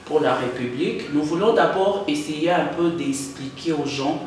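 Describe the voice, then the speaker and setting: A woman giving didactic, repetitive and halting explanations as a party spokesperson in an interview. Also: deep voice, a little mellow. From Democratic Republic of the Congo. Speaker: political party spokesperson, guest. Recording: echoey, distant mic, faint noise.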